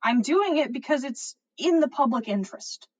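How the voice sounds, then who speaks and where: A woman's voice: sits high, animated, moderate pace, a little mellow, pitched above the performer's own voice. A woman voicing an earnest student writer in a story reading, defensive and pleading. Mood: apologetic.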